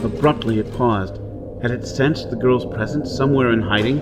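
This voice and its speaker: A man's voice: medium pitch, moderate pace, lightly acted. An actor playing a desperate outlaw hero on Mars, telling his ordeal in intense, theatrical first-person narration. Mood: dread, awe. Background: dramatic music and soundscape.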